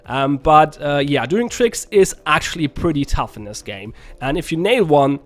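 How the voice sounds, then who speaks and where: A man's voice: on the high side, expressive, normal speed. A young man, a little sick, casually commenting on a game as he plays, rambling and correcting himself often. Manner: rambling, self-correcting, laughs at own plays. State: a little sick, voice slightly rough. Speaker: game reviewer.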